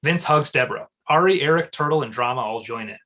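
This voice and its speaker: A man's voice: medium pitch, fast talker, bright, forceful tone. A man reading stage directions as scripted narration at a comedy table read.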